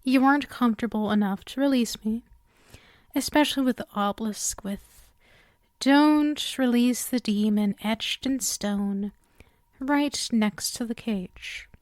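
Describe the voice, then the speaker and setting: A woman playing a lonely, coaxing female demon in an intimate ASMR roleplay, speaking softly and hesitantly, often dropping into a whisper. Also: a little high, unhurried, dry recording, studio quality, close to an everyday voice. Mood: pleading, apologetic.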